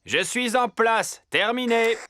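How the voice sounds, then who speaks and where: An actor playing an earnest, officious panda police officer in a children's cartoon, formal and instructive. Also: male voice, extremely high pitch, slow, sharp tone, dry recording, studio quality, full character voice. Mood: earnest, official. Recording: music behind the voice.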